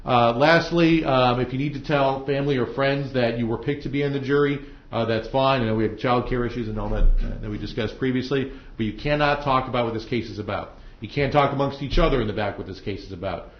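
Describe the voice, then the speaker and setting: A man's voice: medium pitch, fast, few pauses. A man speaking as a judge, giving informal, plain-spoken instructions in a courtroom, explaining things step by step. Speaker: judge presiding over a trial. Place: indoor courtroom. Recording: roomy, dull courtroom audio.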